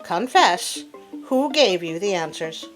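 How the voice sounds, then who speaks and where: A woman voicing a haughty, demanding nobleman in a storybook fable, with a commanding, deliberate manner. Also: fairly low pitch, big pitch swings, a bit bright, faint music behind, heavily acted. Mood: curious, demanding.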